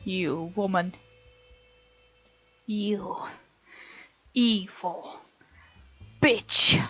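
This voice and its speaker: A woman's voice: mid-range voice, very slow, fairly bright tone, dry recording. A woman hosting a let's play, reading game text aloud and reacting casually and expressively. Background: faint game music.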